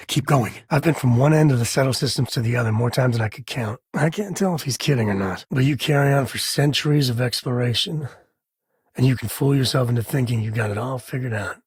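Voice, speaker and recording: A middle-aged, easygoing space explorer and devoted father for a video game. His mid-pitched voice is husky and slightly rough, recorded close and dry. He talks in a folksy, colloquial way with a wry edge. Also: brisk, no echo. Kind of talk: video game companion dialogue.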